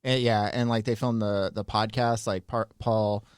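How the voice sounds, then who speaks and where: A man's voice: fairly low pitch, steady tone, moderate pace, clean tone, no echo. A man hosting a casual podcast chat, rambling with fillers and frequent laughs, recorded in a studio. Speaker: podcast host.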